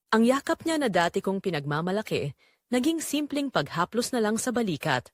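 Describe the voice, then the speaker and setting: A woman's voice: fairly low pitch, no echo, clean studio sound. A woman playing a guilt-ridden married mother confessing a secret affair, narrating in the first person in an emotive, confessional manner. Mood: confessional, wistful.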